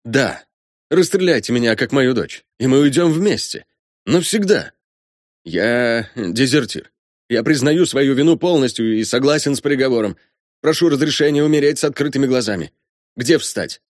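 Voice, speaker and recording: A man's voice: mid-range voice, animated, moderate pace, no echo, studio quality, voice pushed up, close to an everyday voice. A man voicing a proud, devoted old colonel and father, speaking with anguished, formal fervour in an audiobook. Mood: fervent, resigned. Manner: fervent, formal, pleading.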